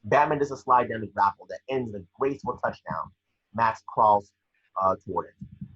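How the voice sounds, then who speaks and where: Low, raspy man reading scene directions. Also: normal speed, fairly bright tone, muffled recording. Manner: stumbles over the script. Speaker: scene-description reader. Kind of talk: scripted narration of a film screenplay, amateur table read.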